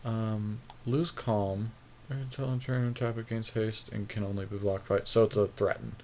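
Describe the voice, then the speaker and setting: A man's voice: on the low side, level tone, moderate pace, a little mellow, a little hiss or hum. A man co-hosting a podcast, giving casual card game draft commentary and thinking aloud in an easygoing, tentative way. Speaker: podcast co-host.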